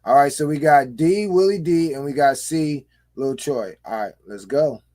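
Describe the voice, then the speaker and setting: A man co-hosting a podcast, reading trivia and joking loudly in casual chat. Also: on the high side, normal speed, soft background music. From New York. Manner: loud, animated, joking. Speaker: podcast co-host.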